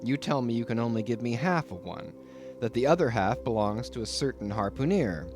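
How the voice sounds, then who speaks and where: A man's voice: medium pitch, expressive, a little fast, darkish tone, faint music behind, lightly acted. A man voicing an indignant, wordy lodger who speaks in an emphatic, pompous way in an audiobook. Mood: stern, exasperated. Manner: pompous, emphatic, formal phrasing. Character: indignant, wordy young male lodger, excitable. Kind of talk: audiobook character voice.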